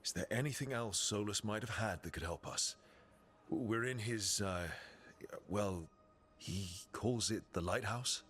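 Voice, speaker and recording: A man's voice: on the low side, a little slow, a bit bright. A man playing a resolute, plain-spoken heroic leader in a video game. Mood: steady. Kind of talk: video game dramatic acting. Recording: game music under the voice.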